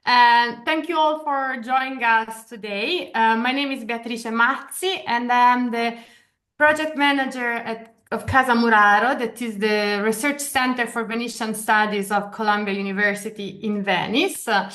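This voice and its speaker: A woman's voice: fairly high pitch, steady tone, normal speed, fairly bright tone. A woman in her thirties with an Italian accent, presenting a study program over a video call, with many hesitations and restarts. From Italy. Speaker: program director. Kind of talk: online information session presentation. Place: office in Venice, video call.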